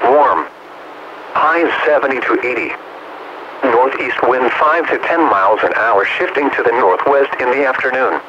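A man's voice: mid-range voice, sharp tone, dull audio. Synthetic male weather radio announcer, noisy signal. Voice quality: synthetic text-to-speech voice. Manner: formal, measured announcing in clipped phrases. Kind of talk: scripted weather broadcast narration. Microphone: direct recording from weather radio receiver.

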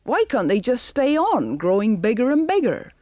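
A woman reading a storybook aloud and voicing a thin, cowardly henchman who fawns and wails in a high voice. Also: wildly swooping pitch, normal speed, mellow, dry recording, clean studio sound. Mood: whiny. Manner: wheedling, whiny, high. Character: thin sharp-chinned man, cowardly fawning henchman.

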